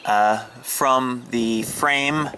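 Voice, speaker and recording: A man giving a casual, halting show-and-tell of a hobby setup, pausing and restarting as he explains. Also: mid-range voice, sharp tone, a little hiss or hum. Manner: hesitant, halting, fragmented delivery. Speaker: amateur radio hobbyist demonstrating his setup. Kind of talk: casual demonstration vlog. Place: outdoors and in a parked vehicle in a residential neighborhood.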